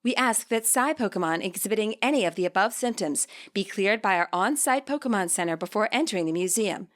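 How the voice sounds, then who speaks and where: Woman, scripted narration, medium pitch, measured, clearly enunciated, podfic reader. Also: animated. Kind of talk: scripted narration, reading fiction aloud.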